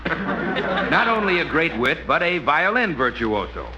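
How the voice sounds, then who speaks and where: A man's voice: a little high, sing-song, a little slow. A man playing a grand, hearty college master of ceremonies announcing in a 1950s radio comedy. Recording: muffled, very noisy old radio recording.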